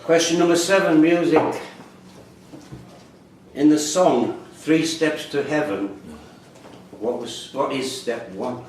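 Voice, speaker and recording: A man's voice: fairly high pitch, slow, pauses often, a little hiss or hum. A man reading out questions as the quiz master at a live quiz. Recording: echoey room, distant mic, loud background music.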